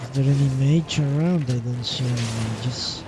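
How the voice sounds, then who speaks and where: A man's voice: medium pitch, moderate pace, noisy background. A man giving casual live gameplay commentary, thinking aloud with a gloomy, pessimistic outlook and some swearing. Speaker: game streamer. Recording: loud game music behind voice. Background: loud video game music and sound.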